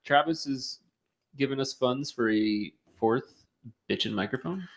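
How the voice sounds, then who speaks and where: A man's voice: medium pitch, measured pace. A man co-hosting a podcast, joking casually with his friends. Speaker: podcast co-host.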